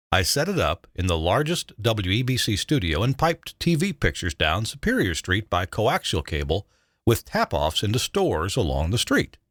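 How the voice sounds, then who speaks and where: An older man, a retired broadcast engineer, narrating a scripted memoir in a measured, dramatic way with emphatic stresses. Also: fairly low pitch, a bit bright, dry recording, studio quality.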